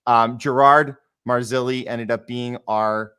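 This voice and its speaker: A man with a medium-pitched voice hosts a conversational interview. He is talkative and emphatic, circling back to expand on points in an informal way. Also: fairly even intonation, slow pace, pushed and bright, clear, resonant tone. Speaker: host, voice director and actor. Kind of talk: casual interview hosting.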